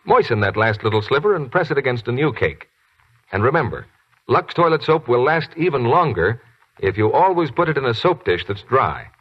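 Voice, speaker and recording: American man, 1940s radio announcer, lively pitch, husky, scripted sales delivery, muffled recording. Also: normal speed.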